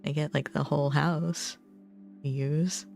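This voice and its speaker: A young adult woman chatting casually on a livestream, musing informally in short, halting phrases. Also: sits low, fairly even intonation, vocal fry, a little mellow. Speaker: game streamer. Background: background music.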